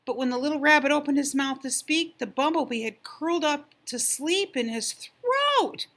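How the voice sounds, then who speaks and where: An older woman reading a children's picture book expressively, gentle and drawn-out, hushed in places. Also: sits high, animated. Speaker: storyteller.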